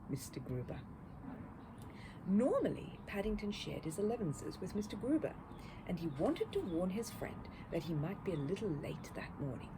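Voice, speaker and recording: A woman reading a children's story aloud as an expressive narrator, with noise in the recording. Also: mid-range voice. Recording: clear background noise.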